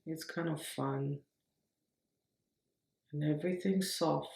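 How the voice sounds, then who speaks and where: A woman leads a guided meditation in a roomy, echoing space. Her delivery is very slow and even, with gentle instructions offered in short, unhurried phrases. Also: low voice, gravelly. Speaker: meditation teacher.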